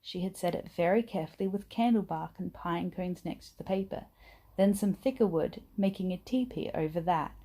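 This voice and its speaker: A woman reading a children's bedtime story aloud in an expressive, acted-out manner. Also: fairly low pitch, moderate pace. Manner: animated, acts out dialogue and sound words. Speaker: storybook reader.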